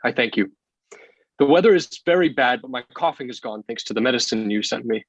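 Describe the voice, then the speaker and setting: Guy playing a loving husband reading his war letter home, mid pitch, really dry recording. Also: expressive, fairly quick, dry recording, lo-fi sound. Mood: affectionate. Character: loving soldier husband writing home in wartime. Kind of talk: reading a letter aloud in a play reading.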